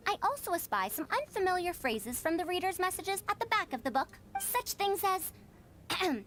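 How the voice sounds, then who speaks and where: High, lively scholarly woman. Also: a little hiss or hum. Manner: formal, inquisitive. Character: curious, bookish woman scholar and teacher with formal speech. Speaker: voice actor in a video game. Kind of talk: scripted anime game dialogue.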